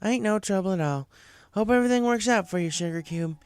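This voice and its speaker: A man's voice: sits high, brisk, smooth, voice pushed up. A man voicing a friendly, plain-spoken young female farm pony with a folksy manner.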